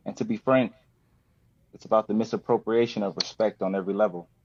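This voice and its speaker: Man of thirty, American accent, low voice, poet on a podcast, dull lo-fi recording. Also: steady tone, fast, darkish tone. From US West Coast. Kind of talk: poetry reading and casual chat. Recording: dull lo-fi roomy sound.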